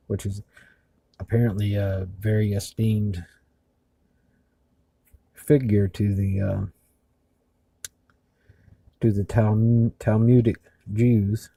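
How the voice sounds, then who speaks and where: A man hosting a video in casual narration, speaking haltingly with labored speech after mouth surgery. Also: fairly low pitch, gravelly, dark tone, dry recording. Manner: halting, hesitant. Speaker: video host.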